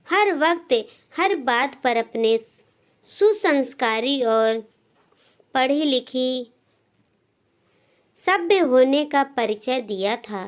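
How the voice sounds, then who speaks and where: A woman narrating a scripted moral story as a storyteller, in deliberate phrases with frequent pauses. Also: sits high.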